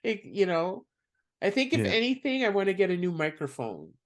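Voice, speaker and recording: A soft-spoken woman, hosting a casual podcast chat, giggling often and filling her talk with "like.". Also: mid-range voice, sing-song, normal speed. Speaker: podcast host and comedian.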